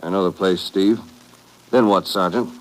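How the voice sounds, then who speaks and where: An actor playing a brisk, knowing army major in an old radio drama, terse and businesslike. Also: male voice, low-pitched, steady tone, natural voice. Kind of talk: 1950s radio drama acting. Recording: noisy old radio recording.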